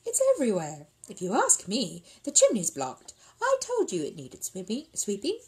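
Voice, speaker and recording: A woman's voice: mid-range voice, wildly swooping pitch, normal speed, darkish tone, slightly rough, voice pushed up, full character voice. Woman reading as a practical, reproachful storybook housewife. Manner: matter-of-fact, a little vague.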